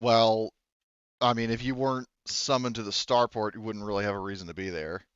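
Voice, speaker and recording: A man's voice: on the low side, fairly even intonation, fairly quick, clear, resonant tone, dry recording, highs cut off. A man casually narrating and explaining as game master of a tabletop roleplaying game, wandering into asides.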